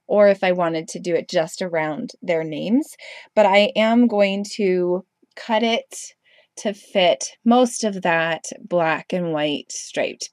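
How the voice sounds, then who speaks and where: A woman's voice: medium pitch, darkish tone, no echo, clean studio sound. A young woman with a stuffy, congested voice from a cold, casually narrating a craft tutorial over her footage with rambling, chatty asides and lots of ums. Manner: chatty, rambling, frequent ums and self-corrections. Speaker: craft video host.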